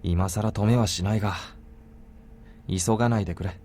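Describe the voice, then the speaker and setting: Man acting very fast-talking, gruffly caring young man. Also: on the low side, steady tone, dark tone, lightly acted. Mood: caring, firm. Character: protective, gently gruff young man, devoted caretaker. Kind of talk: Japanese visual novel voice acting. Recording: game music behind the voice.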